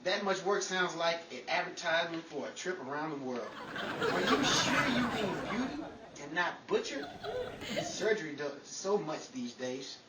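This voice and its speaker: Wisecracking skeptical bachelor for 1940s-style radio comedy, played by a man, very animated, distant noisy recording. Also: a little high, normal speed, roomy sound, a loud crowd. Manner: sardonic, incredulous quips. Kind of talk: 1940s old-time radio comedy acting.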